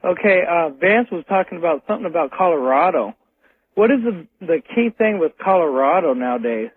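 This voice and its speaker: Halting American man on a phone line. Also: on the high side, animated, breathy edge. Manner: halting, repeats words. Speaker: radio show caller. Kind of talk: casual phone call to a radio show. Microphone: telephone. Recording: tinny phone line on radio.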